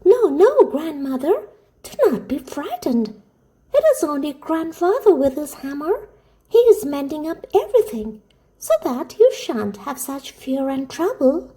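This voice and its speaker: Storybook child: small trusting girl, high voice, mellow edge, earnest and tender. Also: expressive, measured pace, poor audio. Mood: soothing.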